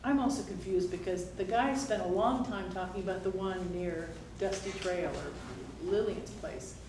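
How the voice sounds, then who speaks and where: A woman talks informally as a council member at a community meeting. Her mid-pitched voice is distant from the mic, in an echoey room with clear background noise. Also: moderate pace.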